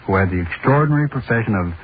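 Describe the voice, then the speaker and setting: A man's voice: lowish voice, expressive, rapid-fire. A man hosting, reading a scripted introduction to a story. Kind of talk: scripted narration. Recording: noisy, tinny old radio sound.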